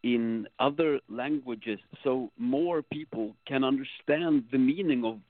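A band member from Sweden with a Swedish accent, speaking slowly and hesitantly and often restarting his sentences. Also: mid-range voice, dry recording. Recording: dry phone-like line.

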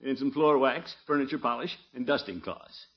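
An actor playing a pompous, jovial middle-aged uncle and town commissioner in a 1950s radio sitcom, blustery and pleased with himself. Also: male voice, medium pitch, normal speed, close to an everyday voice. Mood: cheerful, secretive. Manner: self-satisfied, chuckling, blustery. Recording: muffled 1950s radio recording.

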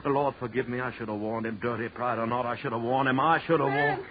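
A man's voice: medium pitch, steady tone, fast talker, over a noisy crowd, close to an everyday voice. A man acting a fearful, guilt-ridden, pious fisherman in a 1940s radio horror play, muttering prayers and oaths in anguish. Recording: muffled 1940s radio, crowded noisy background.

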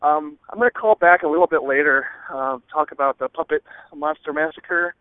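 A man calling in to a show over the telephone, rambling casually and hesitantly with many ums and pauses. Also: fairly high pitch, steady tone, clear, resonant tone, dry recording. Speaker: listener calling in. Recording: narrow, dry phone-line sound.

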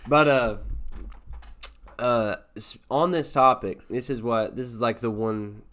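A man co-hosting a podcast, chatting casually and haltingly, repeating himself and often checking in with an "okay". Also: medium pitch, fairly bright tone. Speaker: podcast co-host. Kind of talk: casual chat.

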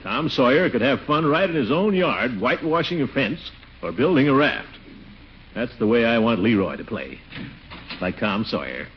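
An actor playing a blustery, pompous, excitable middle-aged man in a 1940s radio comedy. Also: medium pitch, moderate pace, natural voice. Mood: self-satisfied. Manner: pompous, blustery, theatrical exclamations. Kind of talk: 1940s radio sitcom acting. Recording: dull, noisy 1940s radio recording.

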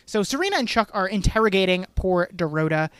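A podcast co-host rattles through an episode recap at a brisk clip, swearing freely and slipping into the characters' lines. The sound is dry and close, with no room around the voice. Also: mid-range voice, smooth, dry recording. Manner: fast, animated, swears, acts out quotes. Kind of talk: casual TV recap chat.

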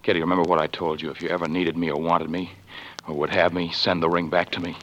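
Intense pleading old flame, extremely low voice, muffled 1940s radio recording. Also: male voice, little pitch movement, fast, a bit bright. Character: pleading, lovelorn former sweetheart, intense gentleman. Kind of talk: 1940s radio drama acting.

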